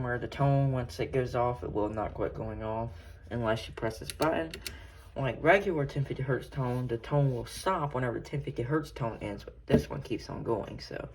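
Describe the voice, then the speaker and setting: A man giving a casual, hands-on show-and-tell as a collector, rambling and often correcting himself as he goes. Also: medium pitch. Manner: halting, rambling, self-correcting. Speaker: radio collector showing a collection. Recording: noisy room with clicks.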